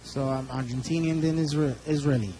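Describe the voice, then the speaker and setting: A man's voice: mid-range voice, steady tone, warm tone, a little hiss or hum. A man interpreting a sermon live, following the preacher phrase by phrase, often restarting his sentences. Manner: quick, restarting, echoes the speaker phrase by phrase. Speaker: church interpreter.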